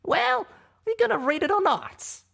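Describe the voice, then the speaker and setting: A man's voice: very high-pitched, big pitch swings, measured pace, creaky, pushed and bright, pitched above the performer's own voice, full character voice. A man voicing a blunt, forgetful elderly stallion with rustic, folksy speech in an audiobook. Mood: testy. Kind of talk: audiobook character voice.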